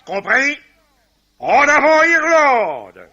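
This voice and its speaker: An actor playing a gruff, middle-aged Irish clan chief, commanding and sarcastic, in 1950s French radio drama style. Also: male voice, very high-pitched, wildly swooping pitch, drawn-out words, sharp tone, heavily acted. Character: gruff, bossy Irish clan patriarch, hot-headed and scornful. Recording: dull, band-limited old radio recording.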